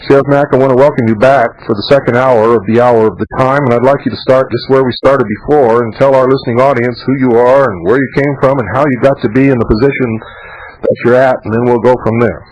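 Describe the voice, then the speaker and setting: A man hosting a radio talk show, speaking in a slow, dramatic, emphatic way. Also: mid-range voice, steady tone, a little mellow, highs cut off. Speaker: radio host.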